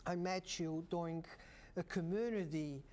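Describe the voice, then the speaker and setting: A man hosting a local cable talk show, speaking haltingly with many fillers and restarts. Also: fairly high pitch, a little mellow. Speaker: host.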